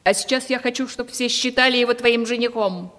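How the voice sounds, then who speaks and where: A woman's voice: on the high side, brisk, fairly bright tone, close to an everyday voice. An actress playing a vain, domineering aging provincial lady in a Russian radio play, with a theatrical, imperious manner. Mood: stern, condescending. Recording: reverberant 1970s radio play recording.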